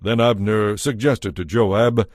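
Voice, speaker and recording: Male narrator, lower pitch, scripted scripture storytelling, dry recording. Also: steady tone, slow, a little mellow, no echo. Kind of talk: scripted narration of dramatized audio Bible.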